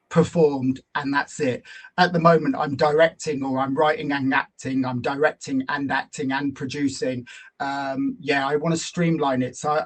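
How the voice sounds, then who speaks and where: Higher-pitched man, reflective. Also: husky. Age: 40s. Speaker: guest, actor and filmmaker. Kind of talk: conversational interview answers.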